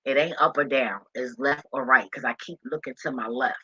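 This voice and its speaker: A man with a husky voice talking casually and off the cuff, blunt and profane with streetwise slang, like an online spiritual commentator. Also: moderate pace, pushed and bright. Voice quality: husky, deep voice. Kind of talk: casual, unscripted rambling talk. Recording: roomy, dull lo-fi home recording.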